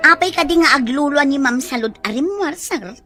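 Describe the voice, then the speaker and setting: A woman's voice: high voice, animated, fairly quick, bright, forceful tone, faint music behind. A woman playing a respectful, concerned household helper in an Ilocano drama. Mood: concerned, deferential. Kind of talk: Ilocano radio-style drama acting.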